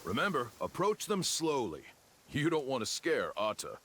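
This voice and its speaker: A man's voice: medium pitch, huge pitch swings, normal speed, fairly bright tone, a little hiss or hum. An actor playing a money-minded, pushy huckster in a video game, with a wheedling salesman's manner. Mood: helpful, salesy.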